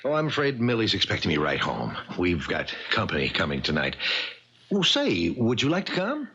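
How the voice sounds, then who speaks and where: A dull old radio recording of an earnest, anxious junior executive. His voice is bright and raspy, with the deferential tone of 1970s mystery drama. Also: low-pitched, wildly swooping pitch, normal speed, full character voice. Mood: friendly, modest.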